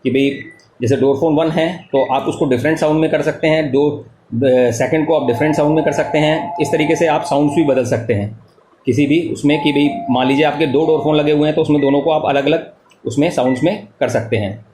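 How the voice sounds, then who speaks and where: Echoey, higher-voiced Indian man demonstrating a product. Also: fairly quick, darkish tone. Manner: step-by-step, repeats key points. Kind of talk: explanatory product tutorial. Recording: echoey room with clear background noise.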